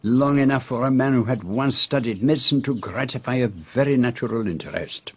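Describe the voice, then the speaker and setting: A grave, shrewd Belgian detective in a radio drama. The voice is husky, with a Belgian French accent and precise, theatrical phrasing. The recording is slightly muffled. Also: male voice, mid-range voice, a little fast. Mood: grave, pensive.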